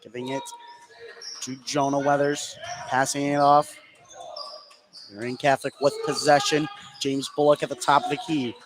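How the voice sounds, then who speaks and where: A man doing live radio play-by-play of a basketball game in short clipped phrases, getting excitable on big plays. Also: medium pitch, moderate pace, long pauses. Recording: clear gym noise behind the voice. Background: basketball game ambience, bouncing balls.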